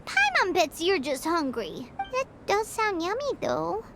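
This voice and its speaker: A woman voicing a tiny, excitable, cheeky floating girl companion in a video game, with a squeaky childlike voice. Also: measured pace, very noisy, exaggerated voice. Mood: grudging, then pleased. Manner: speaks of self in third person, bubbly. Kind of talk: animated video game character acting. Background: game music.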